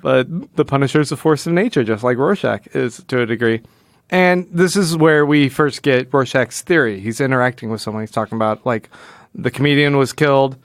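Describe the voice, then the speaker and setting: A man's voice: mid-range voice, a little fast, dry recording. A man hosting a casual comic book podcast in a wry, jokey way, often acting out imagined dialogue. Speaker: podcast host.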